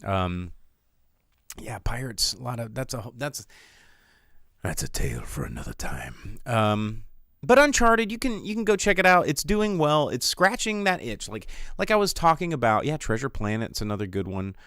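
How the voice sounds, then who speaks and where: A man's voice: mid-range voice, sing-song, normal speed, fairly bright tone, dry recording, studio quality. A man hosting a casual, rambling livestream of film chat, with an opinionated, jokey, conversational way of talking. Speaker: livestream host reviewing films.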